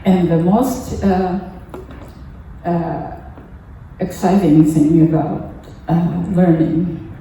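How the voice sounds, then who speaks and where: An older woman from Japan, a native Japanese speaker with a Japanese accent, gives a halting, earnest personal talk as a lay speaker at a temple service. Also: fairly low pitch, fairly even intonation, vocal fry, dark tone. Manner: halting, earnest, pausing often mid-phrase. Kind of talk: personal testimony talk to a congregation. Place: temple hall during a service. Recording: echoey room, distant mic, faint noise.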